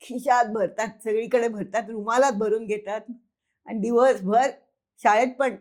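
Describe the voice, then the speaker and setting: A woman's voice: on the high side, fairly even intonation, a little slow, a little mellow. A woman storyteller narrating informally for children, conversational, addressing listeners and repeating words for effect.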